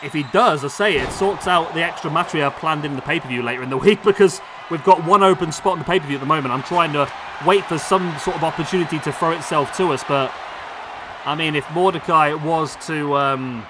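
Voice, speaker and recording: A man with a hoarse, worn voice giving excitable play-by-play commentary on a video game match. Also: a little high, fairly quick, crowd noise. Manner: excitable, bursts of exclamation. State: hoarse, losing his voice. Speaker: gaming YouTuber. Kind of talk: play-by-play commentary over a simulated wrestling match. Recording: clear background noise under voice.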